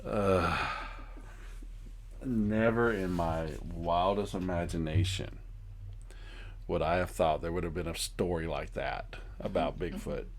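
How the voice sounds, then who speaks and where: A man's voice: sits low, fairly even intonation, slow pace, long pauses, a little hiss or hum. A man co-hosting a podcast in casual comedic chat, with a jokey, incredulous manner. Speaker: podcast co-host.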